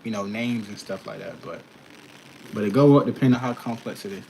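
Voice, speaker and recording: A man's voice: lowish voice, fairly quick, vocal fry, a little mellow, slight background noise, low-quality recording. A man in his early twenties in a casual livestream chat, answering questions in a slangy, emphatic way and often checking that listeners follow. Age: early 20s. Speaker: custom shoe artist answering viewer questions.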